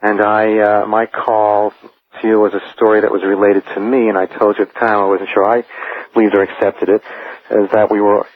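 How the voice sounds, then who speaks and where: Male phone-in caller, even unvaried tone, measured pace of thought, narrow phone-line audio. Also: lowish voice, dry recording. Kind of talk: conversational recounting on a radio call. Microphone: telephone.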